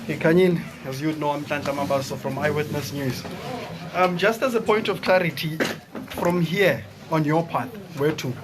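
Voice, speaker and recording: A man's voice: on the high side, moderate pace, noisy background. A man with a South African accent, a news reporter putting questions at a press gathering, with people around him. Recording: crowd chatter around the voice.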